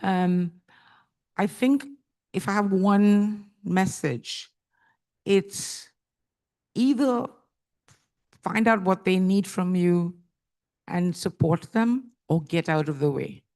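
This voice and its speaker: A woman's voice: medium pitch, slow pace, long pauses, darkish tone. A woman from India speaking as a panelist, earnest and thoughtful, with some fillers as she speaks. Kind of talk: panel discussion remarks.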